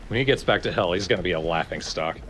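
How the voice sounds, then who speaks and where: A man's voice: deep voice, fast, a bit bright. A man co-commentating on a video game, joking and musing in casual chat with friends. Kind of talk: casual chat over gameplay. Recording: faint background noise.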